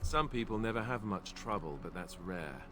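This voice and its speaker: A man's voice: lowish voice, expressive, moderate pace, background noise, lightly acted. An actor voicing a young male warrior in a video game, who is joking and self-deprecating with a dry, wry humor. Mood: somber.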